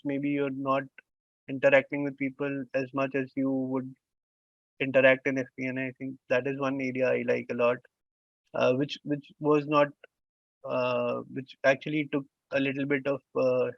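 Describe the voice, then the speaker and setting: A man hosting an interview who speaks haltingly, repeats words, and uses many "uh" fillers. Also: medium pitch, no echo. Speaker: interview host. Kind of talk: conversational interview. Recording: dull, lo-fi sound.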